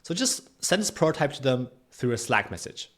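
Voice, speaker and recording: Man hosting a casual software tutorial. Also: medium pitch, sing-song, brisk. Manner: conversational, explanatory, checks in with "right?". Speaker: tutorial host.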